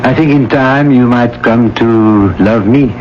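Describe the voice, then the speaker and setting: A man in a radio drama playing a devoted middle-aged French gentleman with a gentle, courtly, measured manner. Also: mid-range voice, natural voice. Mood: earnest devotion. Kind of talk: old-time radio drama acting. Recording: dull, faintly noisy old radio broadcast.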